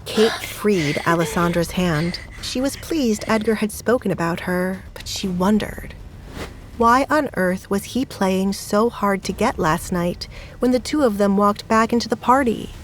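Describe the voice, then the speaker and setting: A woman's voice: mid-range voice, unhurried, a little hiss or hum, poor audio. A woman narrating a scripted dramatic story as its narrator.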